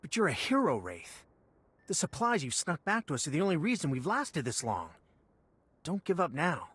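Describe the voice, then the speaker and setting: An earnest male settler in a video game, with a husky voice that swings widely in pitch. He is loyal and indignant, urging with passion. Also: huge pitch swings, moderate pace, fairly bright tone, no echo, exaggerated voice. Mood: pleading. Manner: impassioned, urging.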